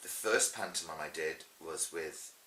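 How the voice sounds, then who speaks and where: A man's voice: rumbling low, unhurried, sharp tone. A middle-aged man telling anecdotes in an interview as a pantomime performer, chatty, theatrical and emphatic. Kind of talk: animated anecdotal interview talk. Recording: roomy echo with faint hiss.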